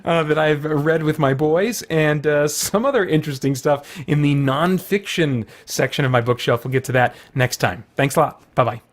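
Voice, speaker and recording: A man's voice: a little high, normal speed, hardly any pauses, a little hiss or hum. A middle-aged man hosting a video with casual, rambling, enthusiastic chat full of loose asides. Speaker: video host.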